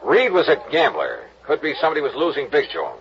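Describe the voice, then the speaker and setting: A man's voice: a little high, wildly swooping pitch, fast talker, vocal fry, crowd noise, exaggerated voice. A man playing a dogged, hard-boiled insurance investigator in a 1950s radio drama, questioning suspects with clipped, wry lines and narrating. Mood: steady. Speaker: lead and narrator. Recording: muffled old radio broadcast with hiss.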